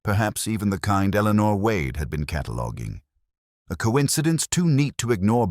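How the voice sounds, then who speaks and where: A man playing a world-weary older detective, narrating a mystery drama in a measured, literary, wry and melancholy way. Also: low voice, a little mellow, no room sound, studio quality. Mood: melancholy, reflective. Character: world-weary, brooding older male English detective narrating his case. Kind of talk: scripted first-person mystery audio drama narration.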